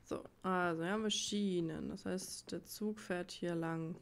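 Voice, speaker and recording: A woman's voice: lowish voice, steady tone, measured pace, vocal fry, slight background noise. A woman giving casual gameplay commentary, musing to herself in an informal way. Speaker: let's player. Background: game music.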